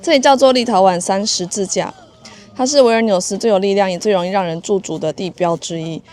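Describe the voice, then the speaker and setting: A woman narrates a travel vlog in a medium-pitched voice, explaining history and background. Light music plays beneath her informative delivery. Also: quick pace, a little mellow.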